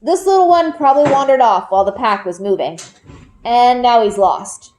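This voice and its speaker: Woman voicing a soft, gentle storybook father. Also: a little high, normal speed, close to an everyday voice, rough recording. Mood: calm, instructive. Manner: soft, calm, explanatory. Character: gentle, knowing father, a magical nature seeker. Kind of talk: children's book read-aloud.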